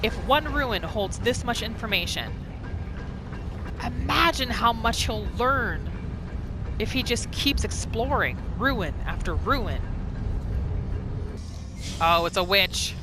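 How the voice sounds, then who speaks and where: Animated woman doing gameplay commentary. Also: fairly high pitch, slow pace, long pauses, music in the background. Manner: excitable, exclaiming, narrates her character's thoughts. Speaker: gaming video host.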